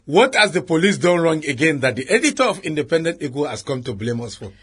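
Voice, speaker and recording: An actor with a Nigerian accent playing a cynical, patronising senior police chief in a radio drama, theatrical and full of proverbs. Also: male voice, fairly high pitch, very animated, quick pace, fairly bright tone, breathy edge, full character voice. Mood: effusive, sardonic.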